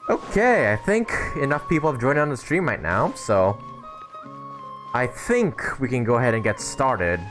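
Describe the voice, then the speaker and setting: A man's voice: medium pitch, big pitch swings, normal speed, poor audio. A man hosting a casual game livestream, rambling and thinking aloud as he chats to viewers. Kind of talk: casual livestream chat while playing a game. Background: game music.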